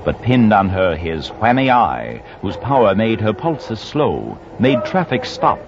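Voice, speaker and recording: A portentous male storyteller, reciting mock-epic verse. His voice is deep and sweeps dramatically. The old radio recording is muffled. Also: big pitch swings, unhurried, noisy background. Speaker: narrator. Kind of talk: 1940s radio verse narration.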